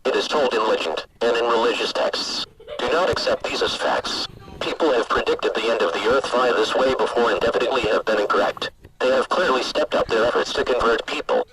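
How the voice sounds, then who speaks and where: A man playing a formal, measured government spokesman reading a scripted emergency broadcast announcement. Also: medium pitch, very noisy, natural voice. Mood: formal, firm.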